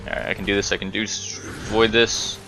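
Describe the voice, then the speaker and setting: A man's voice: lowish voice, little pitch movement, brisk, gravelly, over music, rough recording. A man giving casual storytime commentary while playing a game, rambling, correcting himself and muttering swears at the game. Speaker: gaming video host telling stories while playing.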